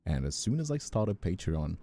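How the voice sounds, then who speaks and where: A man's voice: low voice, very animated, normal speed, vocal fry, warm tone, soft background music. A young man from Brazil chatting casually, telling stories in a rambling, self-deprecating way. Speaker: ASMR voice actor and streamer. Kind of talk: casual chat.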